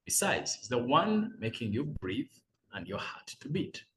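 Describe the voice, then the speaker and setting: A man in his forties talks through motivational tips in an earnest, measured way. His pitch rises and falls with lively movement. A faint hiss sits under the voice. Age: 40s. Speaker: business coach. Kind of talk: motivational talk read as a letter.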